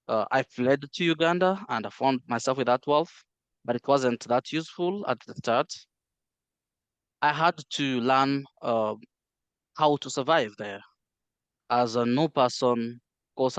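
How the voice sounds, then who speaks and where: A man's voice: mid-range voice, smooth, dry recording. Congolese-raised man, dry close sound. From Democratic Republic of the Congo. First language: Swahili. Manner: measured, many "uh" fillers and restarts. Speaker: interview guest, healthcare interpreter. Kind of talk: casual interview, recounting his life.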